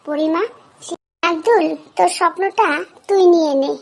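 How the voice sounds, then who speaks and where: A woman's voice: very high voice, normal speed, darkish tone. A woman voicing a mischievous, forgetful female fairy in a children's cartoon, chatty and playful. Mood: conceding, soothing.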